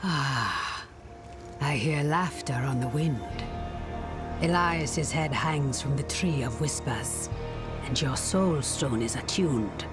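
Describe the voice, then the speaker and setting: A woman playing a stern, cryptic swamp witch in a fantasy game, speaking in a measured, solemn manner. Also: extremely low pitch, animated, music in the background, full character voice. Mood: calm, wistful. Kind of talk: cinematic fantasy game acting.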